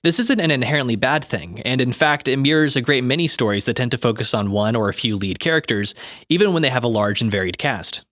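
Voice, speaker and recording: Fast-talking man reading explanatory narration. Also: mid-range voice, expressive, fairly bright tone, dry recording, clean studio sound. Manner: articulate, instructive. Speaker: co-host reading game rulebook commentary. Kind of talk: scripted explanatory narration, audiobook-like.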